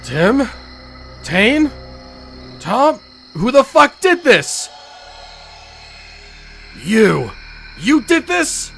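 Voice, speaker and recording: A man's voice: extremely high pitch, sing-song, very slow, gravelly, pauses often, fairly bright tone, soft background music, exaggerated voice. A man playing a vengeful, anguished tournament fighter in a visual novel, bitter and impassioned. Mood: shocked, enraged. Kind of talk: acted visual novel dialogue.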